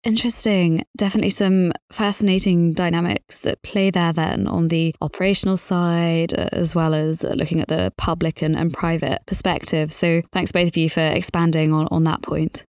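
Woman podcast host, kinda low voice, polite interview questions, dry studio-like sound no echo. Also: flat delivery, moderate pace, few pauses, darkish tone.

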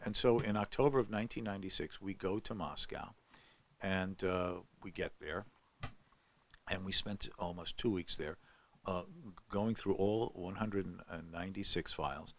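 A man's voice: sits low, moderate pace, a little hiss or hum. An older man telling a long story on a podcast, with many fillers and restarts. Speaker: guest scholar. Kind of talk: podcast conversation.

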